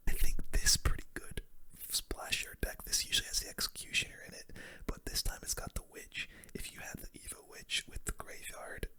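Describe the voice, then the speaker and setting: A person whispering casual, slangy ASMR game commentary in a breathy, unvoiced voice close to the microphone. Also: bright, forceful tone. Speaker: gaming video host.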